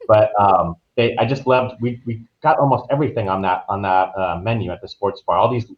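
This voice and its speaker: Male podcast guest, lowish voice, easygoing conversational delivery, slightly muffled lo-fi audio. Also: a little fast. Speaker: podcast guest, travel agent. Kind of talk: casual conversational recounting.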